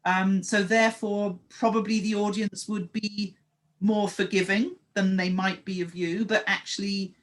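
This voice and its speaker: A woman's voice: medium pitch, little pitch movement, measured pace. A woman hosting an interview as a story coach, asking probing questions with frequent hesitant fillers. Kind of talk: casual interview chat.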